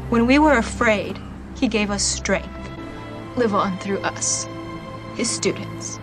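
A woman's voice: medium pitch, lightly acted. A woman as a solemn eulogy speaker over music. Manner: solemn, measured. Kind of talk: film dialogue. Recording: film audio with clear music.

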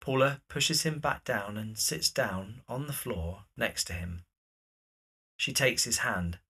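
A narrator reading scripted stage directions for an audio drama in a measured, descriptive way. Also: mid-range voice, sharp tone, breathy edge.

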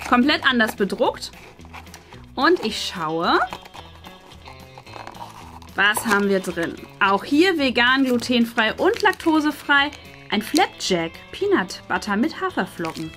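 A woman's voice: on the high side, sing-song, slow, pauses often, fairly bright tone. A woman, hosting a casual unboxing and chattily presenting items to camera. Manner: chatty, presenting items while handling them. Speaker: host of a craft and unboxing channel. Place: small decorated room indoors. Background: background music.

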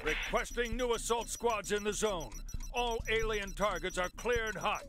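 A terse, high-voiced army officer calls for support in short clipped orders in a video game. He sounds distant, with game music behind. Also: unhurried. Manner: clipped military radio orders.

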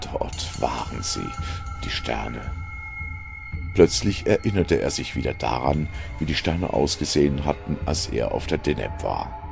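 Very deep, creaky male storyteller. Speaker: narrator. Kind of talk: scripted science fiction audio story narration. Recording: music under the voice. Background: music.

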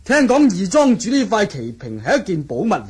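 Courteous, canny sidekick, Hong Kong Cantonese, high husky voice, radio drama. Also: male voice, huge pitch swings, brisk, a little mellow, full character voice. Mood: deferential. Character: polite, shrewd companion of the swordsman, man. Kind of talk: 1980 radio drama acting.

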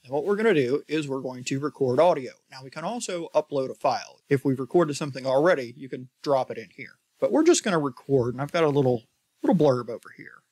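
A man in his forties with an American accent hosting a casual software tutorial in a conversational, emphatic way. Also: medium pitch, expressive, fast, dry recording. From United States. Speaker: tutorial host.

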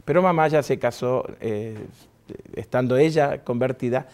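A man's voice: mid-range voice, moderate pace, darkish tone. A middle-aged man from Argentina with an Argentine Spanish accent, a pastor telling his story as a guest in a TV studio interview, jovial and chatty, often laughing. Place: TV studio set.

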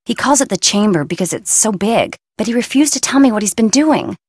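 Casting: a young surfer girl for a video game. Blunt, breezy, slangy delivery with lively swings in pitch, recorded dry. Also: a little fast, breathy edge, no echo. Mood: confiding, matter-of-fact. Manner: casual, slangy, flippant. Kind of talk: video game voice acting, casual slangy dialogue.

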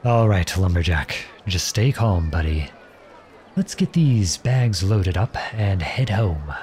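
A man playing an earnest, protective young outlawed prince in an audio roleplay, with a gentle, unhurried, folksy manner. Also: deep voice, animated, a little hiss or hum. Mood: gentle, reassuring. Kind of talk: ASMR roleplay acting.

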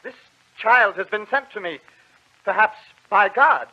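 An actor playing a timid, peevish young French prince in an old radio drama, hesitant and fussy. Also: male voice, high-pitched, animated, a little slow, husky, full character voice. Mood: proclaiming. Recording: dull old radio recording.

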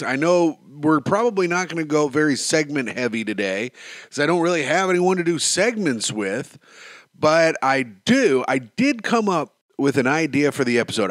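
A young man hosting a podcast alone, talking in a rambling, self-mocking way with deadpan asides, his nose stuffed up from a cold. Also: on the high side, no room sound. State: stuffed up, sniffling. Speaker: podcast host. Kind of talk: solo podcast chat.

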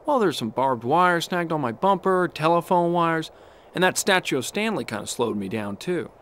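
Cocky young race car in a video game, breezy and jokey, voice jumps around a lot. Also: male voice, on the high side, animated, moderate pace, a bit bright. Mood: playful. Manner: breezy, wisecracking. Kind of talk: animated game cutscene acting.

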